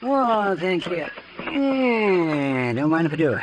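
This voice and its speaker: Animated, high-pitched frontier doctor. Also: male voice, slow. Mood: folksy. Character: chatty, folksy frontier town doctor, adult man. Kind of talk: 1950s radio western acting. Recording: noisy 1950s radio recording.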